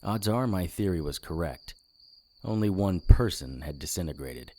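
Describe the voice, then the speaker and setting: Deep, deadpan hard-boiled detective. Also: male voice, moderate pace, a little hiss or hum. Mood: wry, deadpan. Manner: wry, deadpan. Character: hard-boiled, sardonic male occult investigator. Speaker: narrator and lead character. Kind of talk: first-person noir narration in audio drama.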